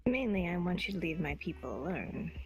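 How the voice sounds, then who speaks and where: A woman's voice: fairly low pitch, animated, fairly quick, close to an everyday voice. A woman playing a small, reserved moon goddess protective of her followers, speaking tersely in an improvised online fantasy roleplay. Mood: reserved, firm. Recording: dull lo-fi voice chat, background music.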